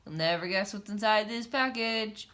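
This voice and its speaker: Lady doing a brave mouse captain guy from a picture book, calling out loud, coaxing and taunting. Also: mid-range voice, normal speed, lightly acted. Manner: shouting, coaxing.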